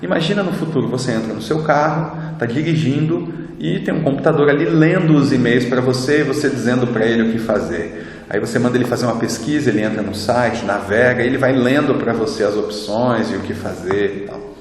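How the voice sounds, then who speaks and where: A man's voice: medium pitch, brisk. A man with a Brazilian accent gives an informal explanatory lecture as an instructor, in a conversational way with frequent tag questions. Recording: very echoey reverberant room, background noise.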